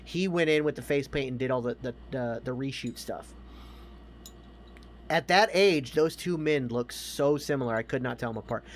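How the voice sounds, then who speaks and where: A man's voice: medium pitch, moderate pace, slight background noise. An American man in his thirties, a podcast co-host chatting casually and emphatically about films, with plenty of swearing.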